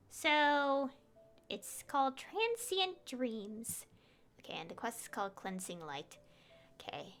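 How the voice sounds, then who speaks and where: A woman's voice: sits high, pushed and bright. A woman game streamer giving casual, chatty, rambling live commentary as she plays. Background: game music.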